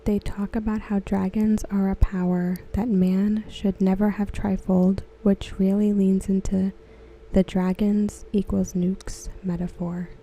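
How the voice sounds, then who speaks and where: A woman reading aloud softly for ASMR, gentle and hushed, with a breathy voice that often slips into a whisper. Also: medium pitch, flat delivery, measured pace, poor audio. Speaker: ASMR host. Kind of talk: ASMR, soft-spoken reading of discussion comments.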